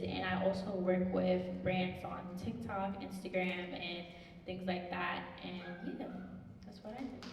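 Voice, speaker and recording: Flat-voiced teen girl in echoey hall. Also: mid-range voice. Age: late teens. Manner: casual, uses "like" often. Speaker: panelist, social media manager. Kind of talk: personal introduction at a community panel. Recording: echoey hall, distant mic, audible noise.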